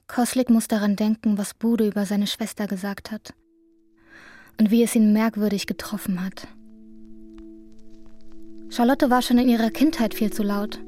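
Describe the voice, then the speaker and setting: A woman narrating a literary radio drama in a measured, introspective way. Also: medium pitch, steady tone, warm tone.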